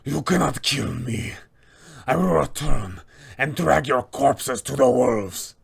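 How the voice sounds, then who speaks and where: A man's voice: medium pitch, animated, slow pace, vocal fry, slightly rough, no echo, heavily acted. Grandiose vampire villain, proud, bitter, menacing, theatrical delivery, tabletop game character, acted out by a man. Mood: furious, defiant. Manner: grandiose, theatrical threats and pleas.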